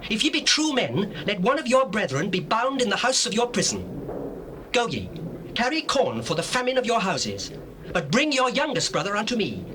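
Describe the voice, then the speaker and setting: A man's voice: high voice, huge pitch swings, moderate pace, background noise. An actor playing a commanding, earnest man of ancient times in a dramatized scripture, declaiming formally and emphatically. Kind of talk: dramatized scripture, theatrical acting.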